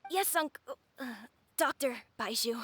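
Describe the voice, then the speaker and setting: Earnest, high-pitched little boy. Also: unhurried, a bit bright. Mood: earnest, polite. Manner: innocent, childlike, eager. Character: earnest, polite little boy looking for his father. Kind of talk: scripted game voice acting.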